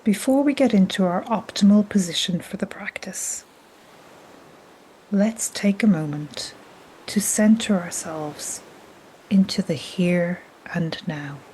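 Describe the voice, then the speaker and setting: A woman guiding a yoga nidra relaxation in a soft, breathy, half-whispered, soothing manner. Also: medium pitch, takes it slowly, rough recording. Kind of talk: guided relaxation meditation. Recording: steady soft noise like ocean waves. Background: gentle ocean waves.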